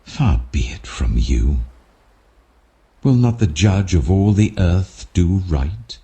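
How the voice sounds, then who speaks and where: A man's voice: low-pitched, animated, takes it slowly, warm tone, pitched above the performer's own voice, heavily acted. A British man voicing a very old, humble patriarch who speaks earnestly and deferentially, as if imploring, in a scripture story. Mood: earnest pleading.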